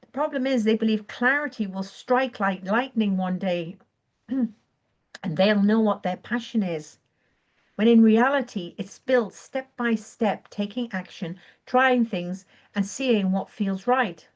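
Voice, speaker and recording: A woman with a croaky voice leads a live online training, encouraging and earnest as she speaks. She clears her throat now and then and sometimes catches and corrects her own words. The audio sounds a little dull and lo-fi. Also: mid-range voice, measured pace. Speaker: online business coach. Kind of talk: live-streamed training talk.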